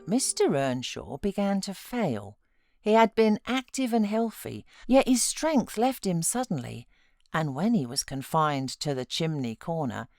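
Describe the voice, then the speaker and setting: A British woman narrating a classic novel as an audiobook reader, expressive and dramatic in her storytelling. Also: low-pitched, no echo, clean studio sound. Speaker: audiobook narrator, voiceover artist.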